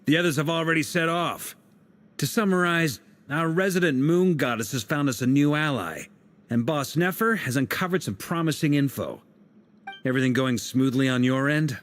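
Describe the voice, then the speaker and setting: A man's voice: a little high, moderate pace, a bit bright, lightly acted. An actor voicing a composed male leader in a game, wry and commanding as he assigns tasks. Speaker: leader planning the team's next moves.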